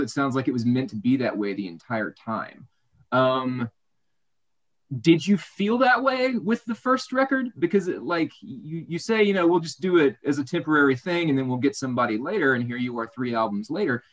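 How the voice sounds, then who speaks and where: A male podcast host poses long, meandering questions and restarts himself often. His voice sits at an everyday middle pitch. He sounds conversational and unhurried. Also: animated. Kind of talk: interview questions.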